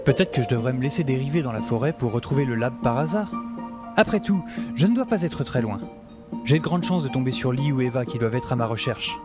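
A man acting a middle-aged scientist who has survived the apocalypse in an audio drama. He narrates his own story with animated swings of pitch, wry and a little self-important. Music plays clearly behind him. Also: quick pace, natural voice. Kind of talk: scripted first-person audio drama narration.

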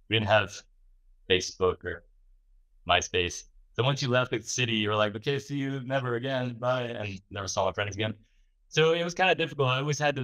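A man's voice: lowish voice, fairly bright tone, poor audio. A man in his forties from the southern United States, giving a casual, rambling, self-deprecating talk with many fillers at a symposium. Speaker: presenter at a symposium. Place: lecture room.

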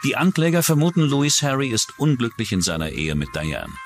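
A man's voice: medium pitch, expressive, a little fast, over music. A man reading scripted true-crime documentary narration.

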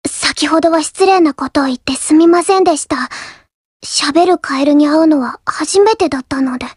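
A woman voicing a shy, polite, earnest young girl from a coffee house in an anime mobile game, soft-spoken and reserved. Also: high voice, no echo, studio quality, lightly acted. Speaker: game character voice actor. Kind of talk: scripted anime mobile game character lines.

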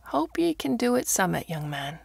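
Storybook role: blunt rural farmer played by a woman, gravelly, rustic dialect. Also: medium pitch, big pitch swings, moderate pace, no room sound, studio quality, full character voice. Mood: matter-of-fact. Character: gruff, blunt old Yorkshire mammoth farmer, male.

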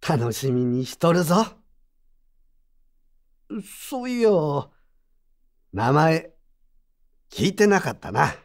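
A woman playing a gruff but kindly old craftsman with curt old-man speech in an audio drama. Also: sits low, sing-song, slow pace, pauses often, full character voice. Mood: fatherly. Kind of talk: Japanese audio drama acting. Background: background music.

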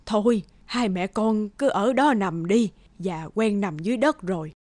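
An actress playing a humble old beggar woman in an animated folk tale, speaking slowly and haltingly. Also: mid-range voice, no room sound, natural voice. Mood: grateful, humble. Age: older. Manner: slow, pleading, halting. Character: frail, humble old beggar woman, secretly wise spirit.

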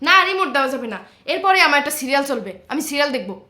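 A woman's voice: sits high, sing-song, rapid-fire, sharp tone, exaggerated voice. A woman playing a feisty housewife in a home comedy skit, argumentative and sarcastic. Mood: scolding. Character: feisty bickering housewife demanding the TV remote. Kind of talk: amateur domestic comedy skit. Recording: roomy home echo.

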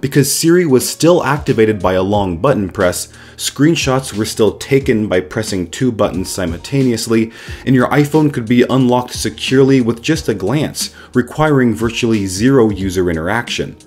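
Man with a slightly nasal, raspy cold voice. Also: mid-range voice, animated, normal speed, darkish tone. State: sick with a cold. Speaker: host of a tech explainer channel. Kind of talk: scripted voice-over narration.

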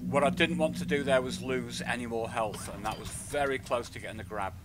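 A man's voice: mid-range voice, brisk. A man streaming a video game, commenting in short muttered remarks to himself as he plays, with game sound behind him. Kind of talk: live gameplay commentary. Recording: noticeable background noise.

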